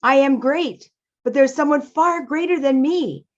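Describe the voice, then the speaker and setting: A woman voicing an ancient, humble wall in a storybook tale, gentle, deliberate and apologetic. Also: high-pitched, lo-fi sound, pitched above the performer's own voice. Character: tall, centuries-old stone wall, humble and kindly apologetic.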